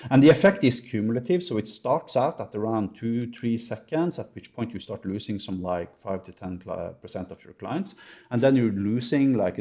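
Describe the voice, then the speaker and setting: A man speaks in a low, very mellow voice as he talks through an unscripted product demo. He is emphatic, halting now and then to think aloud. The recording sounds slightly dull and lo-fi. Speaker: company presenter comparing products.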